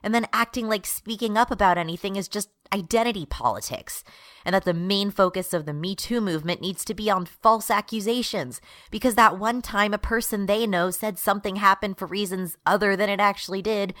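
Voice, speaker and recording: Woman playing a forceful, fed-up retail worker. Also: medium pitch, fairly quick, lightly acted. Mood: exasperated. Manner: exasperated, ranting. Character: fed-up non-binary retail worker turned rebel leader. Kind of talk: radio drama monologue.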